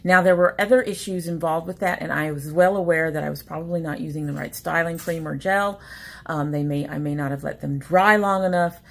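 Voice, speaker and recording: A woman in her forties talks through an unboxing in a slightly low voice, rambling and stumbling over her words. She sounds a bit sniffly from allergies. The sound comes from a webcam in a small room at home. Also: steady tone, fairly quick, gravelly. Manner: chatty, rambling, self-interrupting. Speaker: beauty vlogger. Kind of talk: casual unboxing chat. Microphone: webcam at desk.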